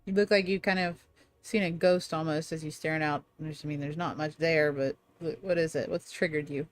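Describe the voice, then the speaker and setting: A woman's voice: low-pitched, steady tone, fairly quick, no echo. A woman playing a tabletop game in character, conversational and reasoning things through aloud. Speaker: player in a tabletop roleplaying game.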